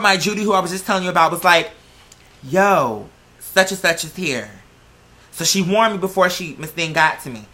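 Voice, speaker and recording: A man's voice: a little high, sharp tone. A man telling personal stories in a casual, slangy rant, with a sassy, confrontational and emphatic manner.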